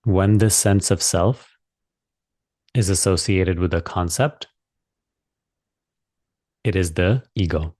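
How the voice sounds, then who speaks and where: A man leading a guided meditation as a teacher, speaking calmly and deliberately with long pauses. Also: low voice, dry recording, studio quality.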